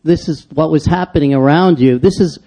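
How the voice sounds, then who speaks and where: A male interviewer with a mellow, somewhat high voice steers the talk with brisk, leading questions. He speaks as the host of the conversation. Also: poor audio.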